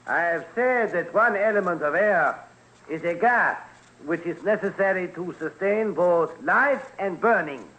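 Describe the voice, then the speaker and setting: Radio drama chemist: dignified Frenchman, precise, bright and high-pitched. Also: animated, a little slow, heavily acted, low-quality recording. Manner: precise, declarative. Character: Antoine Lavoisier, dignified French master chemist.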